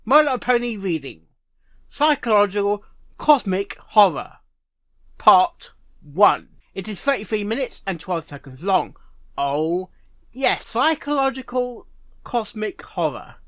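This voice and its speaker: A man's voice: sits high, big pitch swings, unhurried, a bit bright, no room sound. A man hosting a reaction video, making jokey, chatty asides about a story reading. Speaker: reaction host. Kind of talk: casual reaction commentary.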